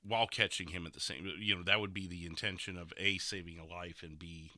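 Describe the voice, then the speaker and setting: Male streamer host, lowish pitch, very bright and forceful tone, casual, thinking out loud. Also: steady tone, a little fast, no room sound, clean studio sound. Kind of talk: casual game stream chat and roleplay.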